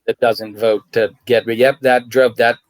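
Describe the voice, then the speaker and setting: A man who is the guest actor, telling stories in a relaxed way on a video call, sometimes laughing as he talks. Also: medium pitch, normal speed, no room sound. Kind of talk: casual video call chat.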